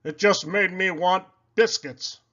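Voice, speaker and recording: A man voicing an excitable, fretful male pig in a children's read-aloud, in a loud, dramatic, exclamatory voice. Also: high voice, slow pace, highs cut off, pitched above the performer's own voice, exaggerated voice. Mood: glum, dry.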